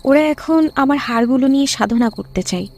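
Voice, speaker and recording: A woman's voice: sits high, brisk, darkish tone, slight background noise. A woman playing the eerie ghost of a young village bride in a horror cartoon story, plaintive and dramatic. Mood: sorrowful.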